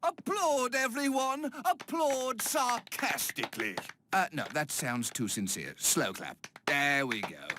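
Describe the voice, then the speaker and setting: A woman voicing a snide, condescending deep-voiced woman who sarcastically cues the applause. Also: lively intonation, slow pace. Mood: sarcastic. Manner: sarcastic, mock-commanding. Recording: noisy, from far off the mic.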